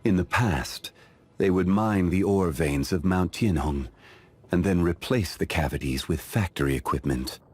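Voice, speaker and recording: A deep-voiced, ancient gentleman from a video game, with a soft husky grain to his voice. He speaks formally and calmly, weighing his phrases with reflective dignity. Music plays behind him. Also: unhurried. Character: ancient, calm, dignified gentleman with a long memory. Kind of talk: scripted video game character voice lines.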